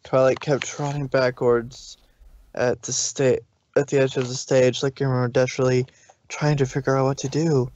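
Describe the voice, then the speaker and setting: A man narrating a fan fiction story aloud in a relaxed way, stumbling now and then. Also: medium pitch, moderate pace. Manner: relaxed reading with slips and restarts. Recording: dull dry lo-fi stream audio.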